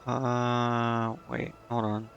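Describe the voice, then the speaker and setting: Gravelly male game streamer thinking aloud. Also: medium pitch, monotone, drawn-out words, fairly bright tone, muffled recording, soft background music. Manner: thinking aloud, hesitant, muttering to himself. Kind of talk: casual live gameplay commentary.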